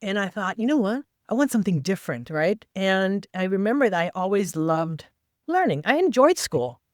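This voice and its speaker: A woman whose first language is not English, guesting on a podcast and telling her story in a lively way with quoted asides. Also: medium pitch, normal speed, no echo, clean studio sound. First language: non-English first language. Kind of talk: conversational interview.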